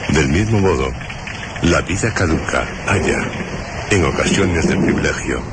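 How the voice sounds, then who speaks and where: Extremely deep, solemn male radio narrator. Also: lively intonation, heavy background noise. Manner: solemn, measured phrasing. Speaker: radio drama presenter and narrator. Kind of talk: slow dramatic literary narration. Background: music.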